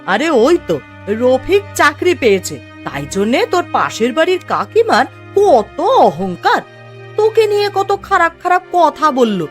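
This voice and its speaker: Woman voicing a shrill-pitched, indignant village mother. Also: sing-song, a little slow. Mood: aggrieved. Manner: complaining, emphatic. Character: touchy, indignant village mother, high-voiced neighbour. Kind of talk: cartoon story acting. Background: music.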